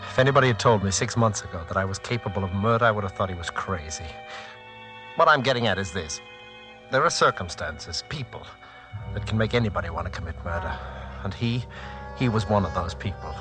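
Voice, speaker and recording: A man's voice: sits low, expressive, fairly quick, over music. A man acting in a 1940s radio drama as a blunt, sardonic, suspicious husband who tells his own murder story. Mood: brooding, confessional. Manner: plainspoken, sardonic.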